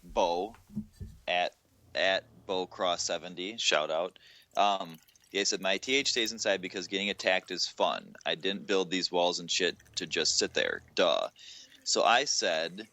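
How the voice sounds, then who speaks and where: A man's voice: low-pitched, lively intonation, measured pace, bright, forceful tone. A man co-hosting a gaming podcast, talking in a casual, informal and opinionated way. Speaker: podcast co-host.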